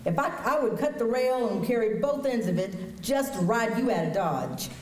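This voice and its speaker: A woman's voice: fairly high pitch, animated, normal speed, a little mellow, lightly acted. A woman playing a blunt, protective frontier woman in a staged radio western, outspoken and direct. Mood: scornful. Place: high school auditorium stage. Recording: echoey auditorium, distant mic.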